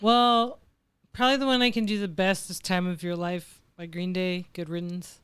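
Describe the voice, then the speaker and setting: A woman co-hosting a podcast beside her husband, soft-spoken and easygoing. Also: fairly low pitch, fairly even intonation, clean tone, dry recording. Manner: soft-spoken, slow, laughs often. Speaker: co-host, host's wife. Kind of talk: casual chat.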